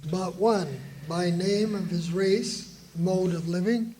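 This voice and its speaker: An actor playing a stern, prejudiced old-time lawyer, formally and deliberately declaiming in a radio play with faint noise. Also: male voice, sits high, dark tone, slightly rough, full character voice. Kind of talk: formal radio drama oratory. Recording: faint noise, live performance.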